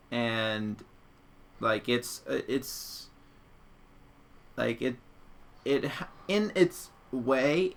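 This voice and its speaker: A young man with a bright, forward voice that often creaks into fry. He talks casually as a podcast co-host and gives his opinions bluntly. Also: medium pitch. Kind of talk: casual chat reviewing audio dramas.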